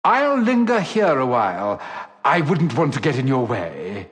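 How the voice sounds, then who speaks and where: An actor playing a suave, cowardly gentleman rogue in a video game, glib, florid and theatrically polite. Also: mid-range voice, sing-song, unhurried. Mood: mock-gallant. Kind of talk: video game character acting. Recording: long hall reverb.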